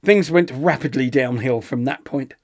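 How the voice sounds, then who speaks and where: A man's voice: medium pitch, normal speed, a little mellow, natural voice. A man playing a pompous, withering theatre critic, reading a scripted comic review in an arch, theatrical manner. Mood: arch disdain.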